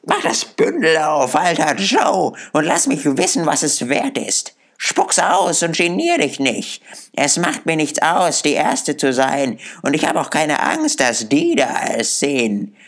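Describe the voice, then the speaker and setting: A man's voice: fairly high pitch, huge pitch swings, measured pace, creaky, a bit bright, pitched above the performer's own voice, exaggerated voice. A man voicing a brash, defiant old woman thief in an audiobook, with a coarse, taunting manner. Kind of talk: audiobook character voice.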